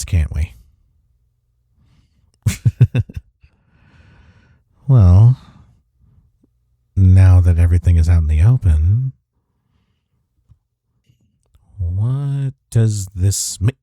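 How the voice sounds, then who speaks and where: A man playing a teasing, tender office rival in an intimate romantic audio roleplay, speaking haltingly with breathy sighs and murmurs. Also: deep voice, dark tone, no room sound, studio quality, heavily acted. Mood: stunned, amused. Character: young male coworker rival confessing love, teasing and tender.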